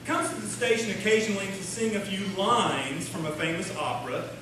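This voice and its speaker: Man as high-voiced bumbling small-town radio announcer. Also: fairly quick, natural voice. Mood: upbeat. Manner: folksy, bumbling. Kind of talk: comic live radio-show hosting. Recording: echoey noisy hall, distant microphone.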